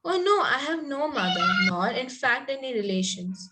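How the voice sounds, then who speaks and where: Naive, romantic young ingenue, played by a woman, slightly high voice, prim and earnest, Victorian comedy table read. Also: big pitch swings, moderate pace, very noisy. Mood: eager, polite. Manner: earnest, politely formal. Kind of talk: amateur play table read.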